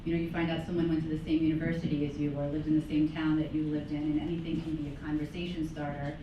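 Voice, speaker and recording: A low-voiced woman talks easily on a business panel, laughing often. She sounds far from the mic in a very echoey meeting room with clear background noise. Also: level tone, quick pace, lo-fi sound. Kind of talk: panel discussion.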